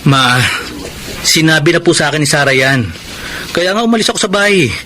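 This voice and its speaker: Over a clearly noisy track, a proud Filipino family man out of work, his voice on the higher side. He is stubborn and defensive, in radio drama style. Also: expressive, a little fast, sharp tone. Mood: defensive, irritated. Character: proud, stubborn, defensive jobless husband and father.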